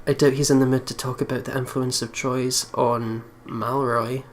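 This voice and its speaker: A man's voice: mid-range voice, little pitch movement, moderate pace. A man hosting a let's play, reading a visual novel aloud with casual commentary and sometimes stumbling over the words. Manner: giggly, stumbles while reading. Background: faint game music.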